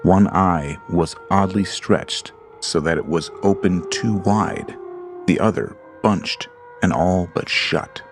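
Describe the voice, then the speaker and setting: Deep, gravelly horror narrator. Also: male voice. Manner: slow, measured, ominous reading. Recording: studio voice-over with creepy background music.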